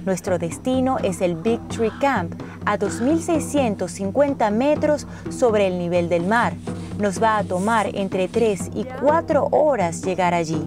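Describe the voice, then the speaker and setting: A woman reading a scripted travel narration in the role of narrator and traveller. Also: medium pitch.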